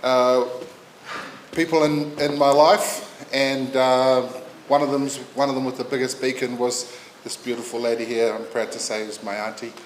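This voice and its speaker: A man in his fifties giving a heartfelt funeral eulogy, halting often as he searches for his words. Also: medium pitch, level tone, a little hiss or hum. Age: 50s. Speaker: family member giving a eulogy. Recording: roomy echo.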